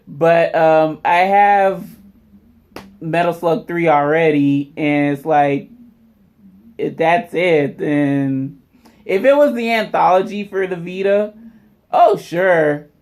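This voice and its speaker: Casual vlog host, mid-range pitch, unhurried delivery, informal and conversational. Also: a little mellow. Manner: informal, addresses viewers as y'all. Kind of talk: casual unboxing vlog commentary.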